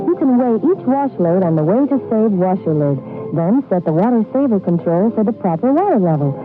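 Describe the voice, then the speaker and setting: A woman reading a scripted 1980s radio commercial as a spokeswoman. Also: medium pitch. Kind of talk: 1980s radio appliance advert read. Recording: narrowband old radio broadcast.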